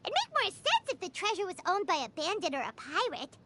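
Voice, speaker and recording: Squeaky, very high childlike girl companion. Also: sing-song, brisk, noisy background. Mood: curious, musing. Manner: refers to herself in third person, exclaims theatrically. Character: small excitable floating childlike girl companion, indignant and naive. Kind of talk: video game character voice acting. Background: background music.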